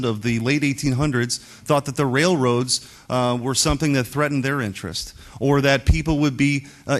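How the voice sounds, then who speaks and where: A male state senator speaks off the cuff in committee. His pitch is average, and he argues emphatically, punctuating points with rhetorical questions and short tags. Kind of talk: impromptu political speech in committee.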